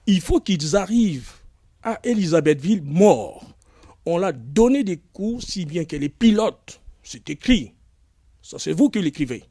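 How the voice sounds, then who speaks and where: A man's voice: on the high side, mellow, dry recording, clean studio sound. A man giving an impassioned public statement in a clip, speaking emphatically and building to anger. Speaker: speaker in played video clip.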